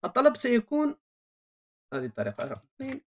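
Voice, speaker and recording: A programming instructor, explaining code while typing, thinking aloud with frequent drawn-out filler sounds. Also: mid-range voice, huge pitch swings, breathy edge. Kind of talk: screen-recorded coding tutorial. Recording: dull lo-fi voice-over mic.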